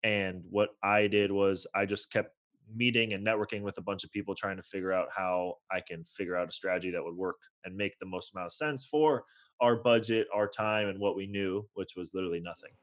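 A man's voice: deep voice, fairly even intonation, brisk, fairly bright tone. A man in his twenties with a North American accent, telling his story casually and informally as a podcast guest. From born in Toronto, grew up in California's Bay Area. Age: 20s. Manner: informal, slangy, peppered with "like" and "you know". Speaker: podcast guest, real estate investor.

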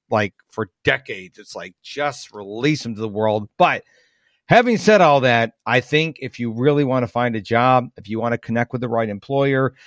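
A man from the United States hosting a podcast, chatting casually and telling animated stories with asides. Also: medium pitch, no echo, studio quality. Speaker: podcast host. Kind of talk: casual interview chat.

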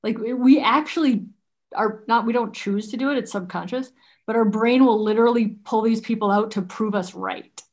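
A woman with a fairly high voice leads a coaching-style talk. She asks blunt, probing questions. She mixes jokes into her guidance. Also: normal speed. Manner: direct, joking, probing questions. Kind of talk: guided coaching conversation.

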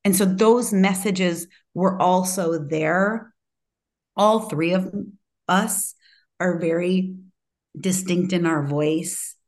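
A woman's voice: on the low side, takes it slowly. A woman speaking as an interview guest in a reflective, conversational way, self-assured and emphatic with a strong voice. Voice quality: loud, strong voice.

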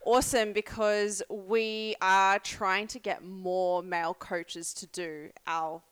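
A woman's voice: medium pitch, little pitch movement, takes it slowly, fairly bright tone, clear, resonant tone. A woman hosting a casual podcast interview, bubbly and upbeat with plenty of fillers. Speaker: podcast host, fitness coach.

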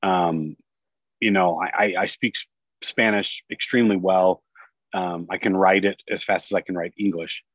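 Low-pitched man, dry and slightly muffled recording. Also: fairly even intonation, moderate pace, no echo. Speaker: podcast guest, business executive. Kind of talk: casual personal storytelling in an interview. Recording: dry, slightly dull sound.

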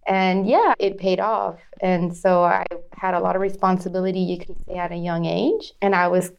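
A woman's voice: on the low side, flat delivery, normal speed, creaky, highs cut off. A woman in her thirties from Arizona, telling her life story as an interview guest, chatty, laughing often and restarting phrases. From Arizona, USA.

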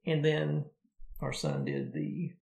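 A man co-hosting a podcast, talking through a story in a relaxed, conversational way. His voice is heavily gravelly, with a lot of vocal fry, and his pitch moves about a lot. The sound carries a noticeable room echo. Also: slow pace. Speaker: podcast co-host. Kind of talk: casual podcast chat.

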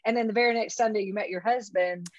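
A woman's voice: mid-range voice, fairly even intonation, fast talker, no echo. Woman interview host, affirming, dry slightly dull recording. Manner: warm, encouraging listener, frequent affirmations. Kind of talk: conversational interview.

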